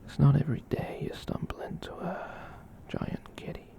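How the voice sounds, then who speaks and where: A performer voicing a gentle, soft-hearted giant catboy in a breathy whispered ASMR comfort roleplay, tender and hesitant as they ramble. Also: normal speed, lightly acted. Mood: tender, reassuring.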